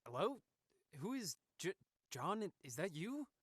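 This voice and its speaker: Guarded, hunted Artie, acted by a man, lively pitch. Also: slow pace, no room sound, studio quality, full character voice. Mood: guarded, urgent. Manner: clipped, guarded, urgent. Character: Artie, evasive, hunted man on a secret call. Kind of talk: audio thriller acting.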